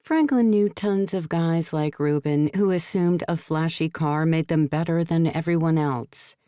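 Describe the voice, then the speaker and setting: A woman's voice: low-pitched, measured pace, mellow, clean tone, dry recording, studio quality. A woman reading scripted story narration as an audiobook narrator.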